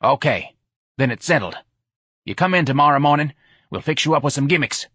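A man voicing a gruff, blunt, short-tempered hot dog vendor boss in an audiobook. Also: a little high, lively intonation, a little fast, bright, forceful tone, dry recording, studio quality, voice pushed up, heavily acted. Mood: grudging, impatient.

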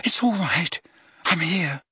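A man's voice: high voice, animated, slow pace, pushed and bright, husky, dry recording, clean studio sound, voice pushed up, heavily acted. A man voicing a frightened teenage boy wizard who pleads and reassures desperately.

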